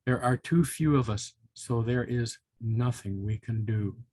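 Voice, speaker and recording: A grave ruler of the mountain animals from a children's storybook, acted out by a man reading aloud. The voice is commanding and husky, recorded close and dry. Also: mid-range voice, unhurried, a little mellow, no echo, close to an everyday voice. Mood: sad, resigned. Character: grave, commanding male mountain monarch of the high rocks.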